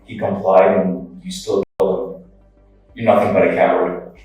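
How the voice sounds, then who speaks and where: Grieving brother at a courtroom lectern, low monotone, slow delivery, echoey room heard from afar with music under. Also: male voice, recorded from a distance. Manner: slow, flat and measured, bitter. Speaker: victim's brother giving a court statement. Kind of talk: victim impact statement in court.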